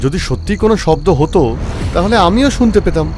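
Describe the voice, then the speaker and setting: A man voicing an exasperated, dismissive young husband in an animated horror story, scolding as he talks. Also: high-pitched, quick pace, a little hiss or hum, voice pushed up. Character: irritated, dismissive young husband brushing off fears.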